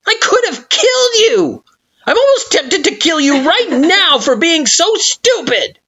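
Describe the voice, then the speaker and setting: A woman voicing an angry, sarcastic male flower monster with a shrill, sharp-tongued delivery. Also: big pitch swings, a little slow, husky, exaggerated voice. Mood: scolding. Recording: dull lo-fi recording.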